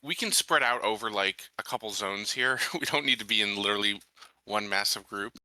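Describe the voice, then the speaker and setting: A man's voice: lowish voice, fairly quick, over a noisy crowd, poor audio. A man talking casually as a player in a tabletop roleplaying game, planning out moves.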